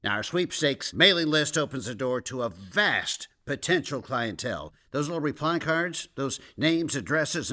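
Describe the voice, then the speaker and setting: Slick, animated corporate recruiter. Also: male voice, exaggerated voice. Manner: salesy, officious, hesitant filler-laden pitch. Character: slick, long-winded pyramid-scheme recruiter, a man. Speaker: company representative. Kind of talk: comedic radio drama acting.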